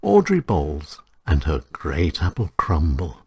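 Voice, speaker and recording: A man with a husky voice reading short stories in a measured, dramatic way, with short phrases and pauses. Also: very deep voice, wildly swooping pitch, dark tone. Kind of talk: scripted short story narration.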